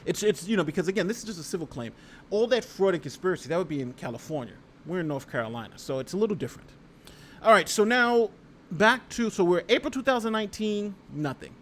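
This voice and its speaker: A man's voice: high voice, lively intonation, quick pace, fairly bright tone, a little hiss or hum. An American man hosting a casual legal commentary stream, reading aloud and talking through it conversationally with rhetorical asides. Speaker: YouTube host and lawyer reading a lawsuit.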